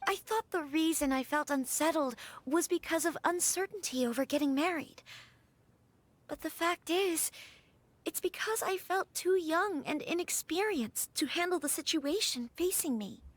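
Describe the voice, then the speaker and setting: Brief: a young noble bride, high soft voice, earnest, dry close sound. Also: female voice, animated, moderate pace, dry recording. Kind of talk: game voice acting.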